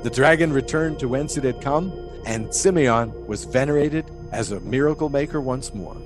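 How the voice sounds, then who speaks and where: A man's voice: medium pitch, moderate pace, over music, natural voice. A man playing an eccentric, devout 1920s pole-sitter in an audio drama, musing in folksy, old-fashioned storytelling phrases. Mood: solemn storytelling.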